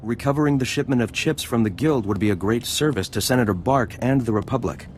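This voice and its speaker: A man's voice: medium pitch, fairly quick, a little hiss or hum, lightly acted. A man playing a fawning, eager senator's aide in a video game, speaking in a formal, gushing way. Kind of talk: video game dialogue acting.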